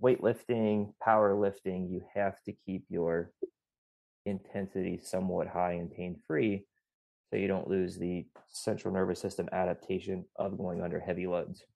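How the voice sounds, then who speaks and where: A man's voice: deep voice, flat delivery, moderate pace, creaky, dark tone, no echo, rough recording. A man co-hosting a podcast, explaining strength training and rehab in a technical, lecturing way. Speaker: podcast co-host, physical therapist. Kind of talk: casual podcast discussion.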